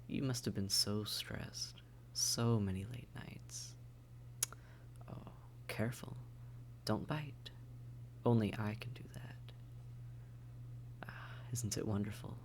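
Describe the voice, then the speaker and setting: A man playing a taunting, predatory vampire in a roleplay audio drama, with mock-tender menace and breathy, coaxing speech. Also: on the low side. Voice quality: breathy, often whispered, creaky. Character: silky, taunting, predatory vampire toying with captive hunter. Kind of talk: immersive roleplay audio drama, often whispered.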